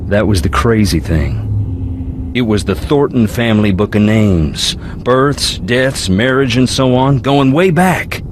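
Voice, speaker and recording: An actor playing a brooding, philosophical young ghost hunter in a video game, with a Southern US accent and a wry, folksy drawl. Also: male voice, medium pitch, expressive, slow pace, a little hiss or hum. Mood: wistful, wry. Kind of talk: video game character dialogue.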